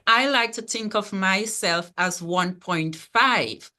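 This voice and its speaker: A woman from Tobago, a librarian, talking as a guest on a panel in a measured, explanatory way. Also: mid-range voice, sharp tone. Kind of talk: online panel talk.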